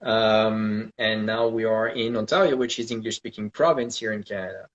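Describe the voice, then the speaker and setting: A man's voice: lowish voice, fairly even intonation, normal speed, highs cut off. A man who grew up in Italy, a guest chef explaining a recipe over a live stream, using filler words as he goes. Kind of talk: casual live-stream chat. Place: Toronto home kitchen.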